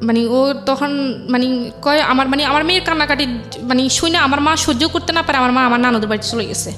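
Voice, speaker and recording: A young woman with a high voice and a Bangladeshi accent tells her personal story in an interview. She talks quickly and colloquially, repeating phrases and quoting her family. Faint music runs underneath. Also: fairly bright tone. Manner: colloquial dialect forms, repeats herself, quotes family members. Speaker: interview guest. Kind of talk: personal life story told conversationally.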